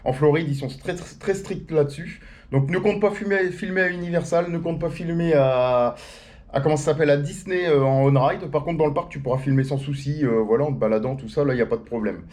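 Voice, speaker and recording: A man's voice: a little high, fairly quick, darkish tone. Slangy, joking man chatting to viewers. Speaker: theme-park YouTuber answering viewer questions. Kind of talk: casual chat, FAQ to camera.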